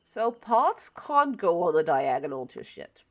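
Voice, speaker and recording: A woman's voice: on the low side, big pitch swings, a little slow. Chatty woman streaming a game. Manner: chatty and opinionated, muttering to herself as she builds. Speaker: livestreamer. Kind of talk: casual chat while playing a building game.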